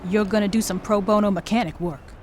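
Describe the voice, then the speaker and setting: A woman's voice: medium pitch, fairly even intonation, fast talker, a little mellow, natural voice. An actress playing a tough, cold female gang leader in a video game, speaking bluntly and with threats. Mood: commanding. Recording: game audio with background noise.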